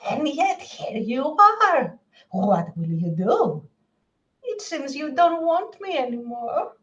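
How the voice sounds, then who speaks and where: A woman voicing a seductive, evil witch priestess who taunts coyly and slowly in an amateur radio play. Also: high-pitched, big pitch swings, lo-fi sound, pitched above the performer's own voice, exaggerated voice. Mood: coy taunting. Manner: slow, coy, taunting.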